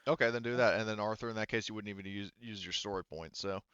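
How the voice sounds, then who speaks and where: Man, game master, smooth low voice, halting improvised narration, casual, dry slightly muffled audio. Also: steady tone, fairly bright tone, no room sound. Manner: halting, casual, thinking aloud.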